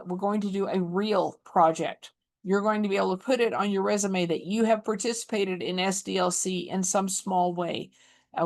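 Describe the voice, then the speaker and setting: An upbeat woman hosts an online meeting over a video call. She runs through the coming sessions in a chatty, eager way. Her voice sits at a middle pitch. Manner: enthusiastic, chatty. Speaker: chapter host. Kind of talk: announcements and introduction.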